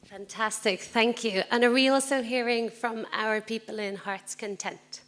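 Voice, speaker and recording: A woman's voice: fairly high pitch, steady tone, a little slow, a bit bright. A woman moderating a live panel, chatty, using plenty of fillers and laughing lightly as she talks. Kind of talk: casual live panel talk. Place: stage at a community event.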